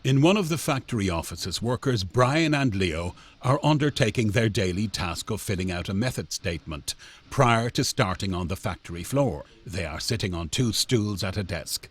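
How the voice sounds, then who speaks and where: A man's voice: fairly low pitch, animated, husky. A man playing a formal narrator in a radio play, reading out in a dry, official manner. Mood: official, detached. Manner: dry, official, measured wording. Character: formal male narrator reading factory reports and stage directions.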